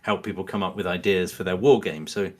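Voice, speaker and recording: A man with a slightly low voice talks as a guest on a podcast. He explains his views casually and loosely, circling round each point with many qualifications. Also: fairly quick. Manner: rambling, hedging, acts out imagined quotes. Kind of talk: casual chat, explaining opinions.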